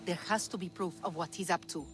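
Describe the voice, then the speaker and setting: A woman's voice: on the low side, fairly even intonation, quick pace, music in the background, natural voice. An actress playing a proud, ambitious young Greek woman in a video game, earnest with a coy, teasing edge. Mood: resolute. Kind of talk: video game cutscene acting.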